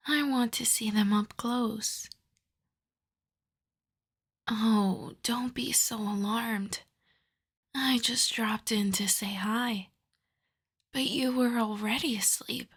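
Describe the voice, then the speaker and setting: A woman voicing a seductive, lovestruck vampire in a soft, breathy, intimate ASMR whisper, coaxing and doting. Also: mid-range voice, exaggerated voice. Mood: tender, coaxing.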